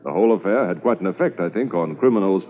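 A man playing a seasoned, proud police officer recounting old cases in a measured way in a 1950s radio drama. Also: low-pitched, expressive. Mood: proud, reflective. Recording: tinny 1950s radio recording.

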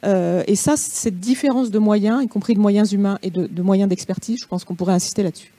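Female discussion participant, mellow timbre, close dry sound, thoughtful unscripted delivery with pauses. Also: medium pitch, no echo. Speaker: participant in a policy workshop. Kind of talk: spontaneous opinion at a public discussion.

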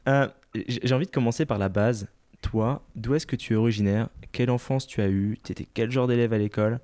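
A man's voice: mid-range voice, warm tone. A man hosting a podcast, reading an enthusiastic introduction and then asking his guest questions. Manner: brisk and enthusiastic. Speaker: podcast host. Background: music.

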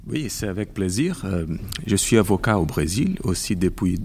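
Man, Brazilian Portuguese accent, low gravelly voice, halting careful speech, 40s. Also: big pitch swings, darkish tone, slight background noise. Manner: careful, halting with repeated words. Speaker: intellectual property lawyer. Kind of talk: podcast interview.

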